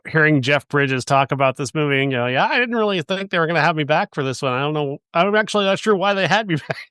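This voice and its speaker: A man's voice: a little high, lively intonation, quick pace, sharp tone, smooth, no echo, clean studio sound. A man co-hosting a film podcast, chatting upbeat, laughing often, with filler ums. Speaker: podcast co-host. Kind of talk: casual film chat.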